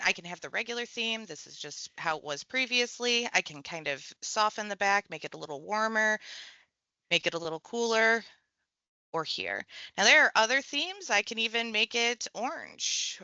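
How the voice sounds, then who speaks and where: A woman walking through a software tutorial step by step, in a relaxed conversational way. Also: mid-range voice, moderate pace, clear, resonant tone, no echo, muffled recording. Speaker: tech trainer. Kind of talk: screen-share software tutorial.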